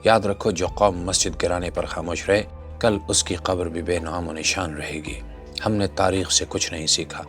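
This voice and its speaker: A man's voice: low-pitched, fairly bright tone. A man delivering scripted social commentary in a rhetorical, admonishing, exhortative manner. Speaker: narrator and commentator. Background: background music.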